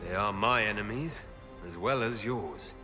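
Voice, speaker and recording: A man's voice: on the low side, a little slow, pushed and bright, long reverb tail, faint music behind. A man playing a wary, questioning elven leader in a video game, direct and controlled as he probes. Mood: firm. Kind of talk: dramatic video game dialogue.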